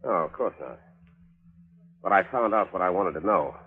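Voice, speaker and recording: An actor playing a shrewd, probing newspaper writer, dry and insistent, in 1940s radio mystery style. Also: male voice, sits low, expressive, normal speed, breathy edge, phone-quality sound. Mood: cool, accusing. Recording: noisy, band-limited 1940s radio recording.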